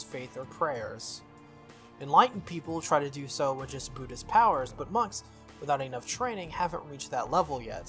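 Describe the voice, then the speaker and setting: A man voicing a shrewd, cautious young female mage from a visual novel, brisk and explanatory. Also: a little high, fairly bright tone, natural voice. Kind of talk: visual novel character read aloud.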